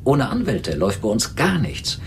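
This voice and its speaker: Male personnel clerk: officious, evasive, animated intonation on a low-set voice, radio drama. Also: moderate pace, a little hiss or hum. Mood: defensive. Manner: long-winded, evasive, argumentative. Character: stalling, officious male hospital personnel clerk, wary of lawsuits.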